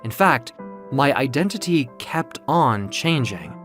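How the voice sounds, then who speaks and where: A man with a mid-pitched voice narrates a dramatic first-person story. His delivery is theatrical and emotive, rising and falling widely, with music underneath. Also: very animated, takes it slowly, fairly bright tone.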